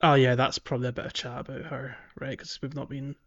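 A man's voice: mid-range voice, level tone, fast, dull audio. A man co-hosting a podcast in a casual, conversational way, hesitant and thinking aloud as he talks about a video game. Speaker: podcast co-host.

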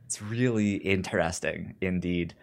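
A man co-hosting a casual chat show about drama series, speaking haltingly and often stammering over repeated words. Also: sits low, creaky. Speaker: podcast co-host.

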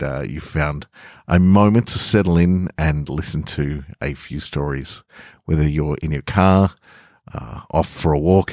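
A man's voice: a bass voice, a little mellow, no echo, clean studio sound. A man hosting a podcast, talking to listeners and narrating short stories he has written. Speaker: podcast host and author reading own stories. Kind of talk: relaxed podcast introduction and short-story narration.

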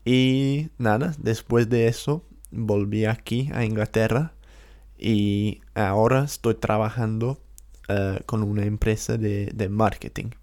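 A man's voice: on the low side, fairly even intonation, slow, a little mellow. A man from London with an English accent, a native English speaker chatting casually in learned Spanish, easygoing with hesitant fillers. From England, London. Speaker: co-host. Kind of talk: casual conversation.

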